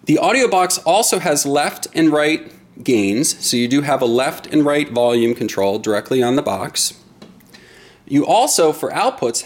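A middle-aged man giving an instructional product demonstration in a deliberate, explanatory way. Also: medium pitch. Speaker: product presenter.

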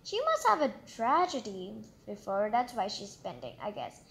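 A woman's voice: medium pitch, very animated, normal speed, creaky, fairly bright tone. A woman reading a children's storybook aloud expressively, breaking off for chatty, exclamatory asides of her own. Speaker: storybook reader and channel host.

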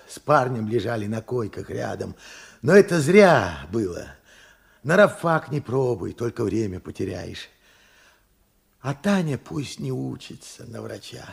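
A weary old veteran pleads with regret and urgency. His voice is very husky and gravelly, halting, and it swings widely in pitch. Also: sing-song, heavily acted. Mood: regretful. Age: older. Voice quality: hoarse, husky, cracked. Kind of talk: Soviet radio play acting.

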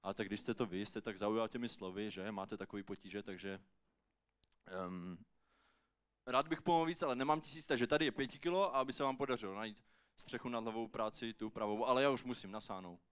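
Man playing an awkward, hurried passer-by. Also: medium pitch, fairly bright tone, smooth, natural voice. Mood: awkward, giving in. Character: hurried working passer-by, awkward, reluctantly generous. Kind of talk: rhymed slam poetry monologue.

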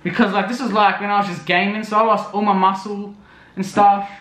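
Young guy, vlog voice-over, high and bright, rambling, roomy echo. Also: flat delivery, brisk. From Australia. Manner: rambling, lots of fillers. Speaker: vlogger. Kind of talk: casual voice-over recap.

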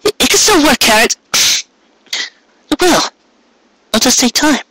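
A storyteller voicing a distressed, devoted young female pony who pleads in halting, stammering phrases. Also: sits high, sing-song, pushed and bright, husky, dry recording, pitched above the performer's own voice, full character voice. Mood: anxious, hopeful.

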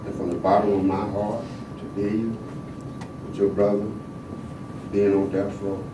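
An older man from the American South speaking at a podium microphone, swinging between quiet reflection and loud, emphatic exhortation. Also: fairly low pitch, level tone, measured pace, recorded from a distance, background noise. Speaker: panel speaker. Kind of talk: impassioned activist speech. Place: large hall in a state capitol. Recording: echoey hall, heard from afar.

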